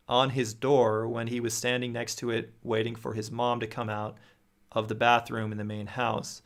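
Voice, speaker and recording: Gravelly-voiced young man reading stories. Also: mid-range voice. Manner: reads aloud with repeated restarts and reactions. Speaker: host reading viewer stories. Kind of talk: livestream reading viewer stories aloud. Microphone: studio mic on boom near face.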